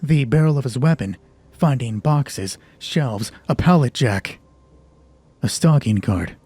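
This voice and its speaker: A man's voice: medium pitch, lively intonation, slow, a little mellow, slightly rough, dry recording. A man hosting a solo tabletop roleplaying game, narrating the story and explaining rules conversationally, thinking aloud with self-deprecating asides.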